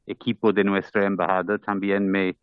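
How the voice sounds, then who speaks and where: A man's voice: low-pitched, flat delivery, moderate pace, clear, resonant tone, dry recording. A man from Ireland with an Irish accent, reading a formal address as an ambassador in careful second-language Spanish. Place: parliamentary committee room. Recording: dull, muffled committee audio.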